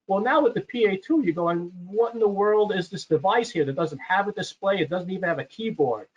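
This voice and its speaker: A man's voice: high voice, animated, fairly quick. A man presenting a product webinar in a conversational, explanatory way, stressing his key points. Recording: dull, lo-fi webinar audio.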